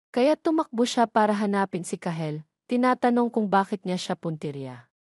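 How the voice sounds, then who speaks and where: A woman's voice: medium pitch, a little mellow, no echo, clean studio sound. A woman reading a scripted story as its narrator.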